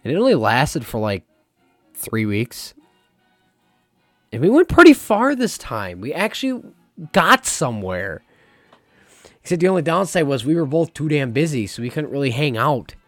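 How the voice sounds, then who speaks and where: A young man talks through his podcast in a loose, candid ramble, his voice still slightly hoarse from being lost. He sits at a middling pitch. Soft jazz plays faintly behind him. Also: sing-song, moderate pace, pauses often, dry recording. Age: young adult. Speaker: podcast host. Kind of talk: casual solo podcast talk. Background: faint jazz music.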